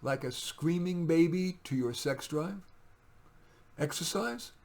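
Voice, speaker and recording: A man's voice: on the high side, slow pace, vocal fry, darkish tone. Man giving an emphatic poetry reading. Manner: emphatic, theatrical when reading verse. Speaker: poet reading own work. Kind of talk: poetry reading with casual asides.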